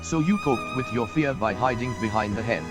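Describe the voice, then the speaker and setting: Actor doing a seasoned old mentor, blunt and folksy, warmly pushing a young hero, over game music. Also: male voice, mid-range voice, moderate pace, natural voice. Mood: encouraging. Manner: folksy, wry, encouraging. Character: older male mentor, gruff and encouraging. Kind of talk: game voice acting. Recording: studio voice with game music behind.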